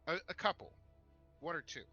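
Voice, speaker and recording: A man in casual podcast discussion, picking his words in a halting, thoughtful way. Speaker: podcast co-host. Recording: dull lo-fi mic, music behind.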